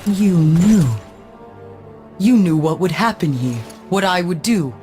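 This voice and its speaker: An actress voicing a fierce, wronged angel warrior in a video game, intense and accusing. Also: female voice, on the low side, animated, takes it slowly, warm tone. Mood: bitter accusing. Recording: music behind the voice. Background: music.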